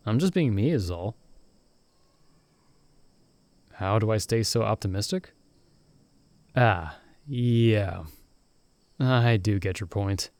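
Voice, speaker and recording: A man's voice: medium pitch, warm tone, no echo, close to an everyday voice. A man playing a cheerful, caring young male mage in a casual, reassuring ASMR fantasy roleplay, talking as if to a close friend. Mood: candid, wistful. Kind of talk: ASMR roleplay, intimate one-sided conversation.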